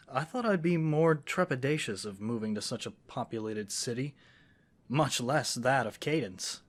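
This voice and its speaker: A man playing an affectionate, scholarly wizard boyfriend in an intimate fantasy roleplay audio, speaking gently in eloquent, formal phrases. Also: mid-range voice, lightly acted. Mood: earnest, hopeful.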